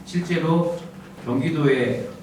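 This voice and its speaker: Soft-spoken pastor in an echoey hall. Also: male voice, a little high, slow pace, far from the mic. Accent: standard Seoul-area Korean. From Gyeongsang region, South Korea. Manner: soft-spoken, humorous storytelling. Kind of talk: lecture-style sermon. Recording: echoey hall miked from afar, background noise.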